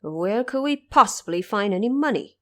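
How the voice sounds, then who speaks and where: A woman's voice: mid-range voice, expressive, moderate pace, sharp tone. A woman plays an anxious emperor stranded in the land of the dead. He sounds formal yet frightened, in the manner of a fantasy audio drama. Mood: worried, puzzled. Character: frightened emperor in the underworld, pleading.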